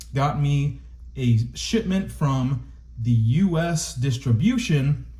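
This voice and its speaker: A man with a dark, mellow voice reviews a toy for his viewers, casual and chatty. He sounds a little stuffy, getting over a cold. His delivery is eager and informal. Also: medium pitch. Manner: excited, chatty, addresses viewers as guys. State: stuffy, recovering from illness.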